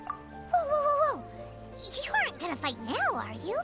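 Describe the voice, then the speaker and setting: A woman voicing a tiny, chatty female companion in a game cutscene, cheeky and excitable, with music playing behind. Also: normal speed, full character voice. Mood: alarmed. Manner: squeaky, teasing, excitable. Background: background music.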